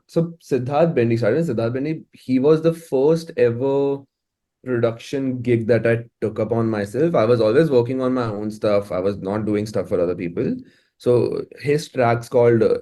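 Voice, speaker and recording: A man's voice: medium pitch, moderate pace, mellow. A man with an Indian accent, chatting casually and effusively as a music producer being interviewed. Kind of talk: casual, conversational interview talk.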